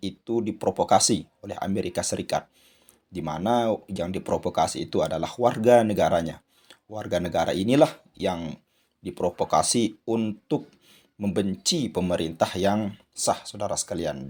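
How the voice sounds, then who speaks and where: A man hosting his channel, giving a spoken commentary with a hoarse voice and many hesitant fillers. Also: low-pitched, a little mellow. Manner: addresses viewers as "saudara sekalian", frequent "eh" fillers. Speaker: channel host. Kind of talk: commentary monologue.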